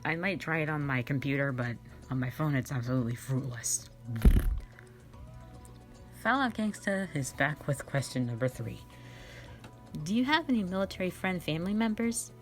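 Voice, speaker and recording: A woman's voice: deep voice, animated, moderate pace, long pauses, faint music behind. A young woman hosting a casual question-and-answer chat, giggly, rambling and playfully self-mocking. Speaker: online channel host and amateur voice actor.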